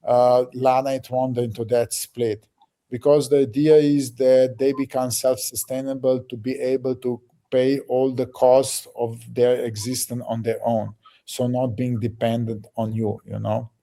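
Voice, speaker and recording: A man with a very dark, mellow voice explains a project to a group. He talks haltingly, restarts words and pads with fillers. His pitch sits in an ordinary middle range. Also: flat delivery. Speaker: project leader answering questions.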